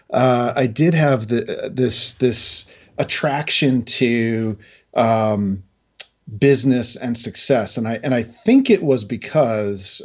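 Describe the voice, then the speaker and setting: A middle-aged American man from New England speaking in a halting, reflective way as a guest in a casual interview. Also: mid-range voice, darkish tone, husky, highs cut off. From New England, United States. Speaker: interview guest, industry analyst.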